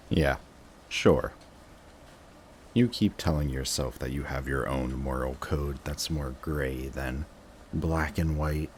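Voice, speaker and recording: A jaded supervillain, acted by a man, speaks in a deep, low voice. He needles his old rival with dry sarcasm and weary taunts, in the manner of a roleplay audio drama. Faint noise sits behind the voice. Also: a little mellow. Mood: scornful, bitter.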